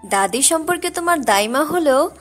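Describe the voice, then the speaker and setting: A woman's voice: high-pitched, expressive, normal speed, a bit bright, faint music behind. A woman playing an affectionate, agreeable young village wife in an audio story drama, speaking sweetly. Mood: affectionate, eager.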